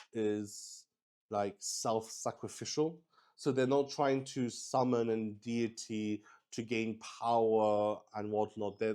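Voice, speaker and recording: Male solo RPG host, smooth resonant voice on the lower side, improvising narration in a halting, think-aloud way. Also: a little mellow. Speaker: solo tabletop roleplay host. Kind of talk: improvised narration of a solo tabletop roleplaying game.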